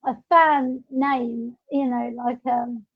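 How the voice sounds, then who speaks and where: High-pitched woman, halting podcast chat. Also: fairly even intonation. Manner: rambling, halting explanations. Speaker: podcast host. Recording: dull, lo-fi audio.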